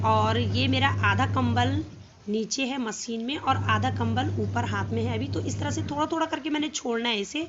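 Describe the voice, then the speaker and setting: A young woman with a North Indian accent and a hoarse voice from a cold, casually explaining a household chore step by step for her home vlog. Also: on the high side, lo-fi sound, heavy background noise. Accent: Hindi-speaking North Indian. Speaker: home vlogger. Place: home, by a washing machine outdoors.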